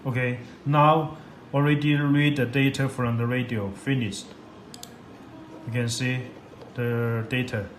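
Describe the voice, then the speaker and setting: Male product demonstrator, halting non-native English, instructional step-by-step delivery, roomy sound with clear background noise. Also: medium pitch, flat delivery. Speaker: product demonstrator for a radio seller. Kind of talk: step-by-step software tutorial. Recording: echoey room, loud background noise.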